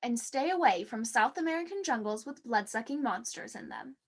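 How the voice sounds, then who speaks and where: An actress playing a naive, jittery co-host in theatrical 1940s radio comedy style. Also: female voice, on the high side, animated, moderate pace. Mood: nervous, suspenseful.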